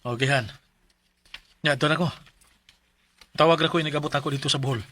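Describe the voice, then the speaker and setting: Bright-voiced Cebuano husband. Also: male voice, on the high side, steady tone, quick pace. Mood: caring, reminding. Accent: Cebuano Filipino. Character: affectionate busy husband, a gigging musician leaving home. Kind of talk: Bisaya radio drama acting.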